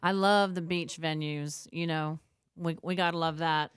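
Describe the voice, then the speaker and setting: A woman from Australia, raised in the United States, chatting as a guest singer in a relaxed interview, with easy laughs and many fillers. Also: low-pitched, unhurried, clear, resonant tone, no room sound, clean studio sound. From born in Australia, raised in the United States. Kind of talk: casual interview chat.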